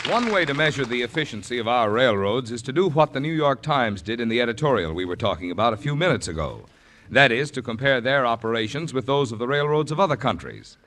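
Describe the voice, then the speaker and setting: A man announcer gives a scripted sponsor message in a measured, formal reading. His pitch moves a lot from phrase to phrase. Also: sing-song, fairly bright tone, a faint crowd, slight background noise. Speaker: radio announcer.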